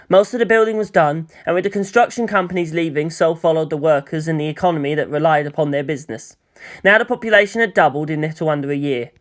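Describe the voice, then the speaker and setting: A narrator reading fan fiction aloud, changing pitch and pace for emphasis and voicing the characters.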